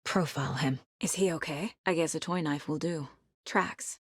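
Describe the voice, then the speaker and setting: A serious, focused female federal agent in a video game, with a lowish voice and a very dry sound. She talks in a matter-of-fact, naturalistic way, like someone working through a case. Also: moderate pace, breathy edge, dry recording. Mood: focused, brooding. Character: determined, focused female FBI agent and worried mother. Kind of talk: naturalistic game voice acting, inner monologue and dialogue.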